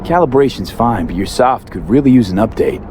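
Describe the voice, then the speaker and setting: An actor playing a laid-back street mercenary in a sci-fi video game, talking in a casual, dry, clipped way. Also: male voice, mid-range voice, animated, brisk, a little mellow, close to an everyday voice. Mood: dry, matter-of-fact. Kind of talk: video game cutscene dialogue, understated. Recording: faint outdoor vehicle noise behind.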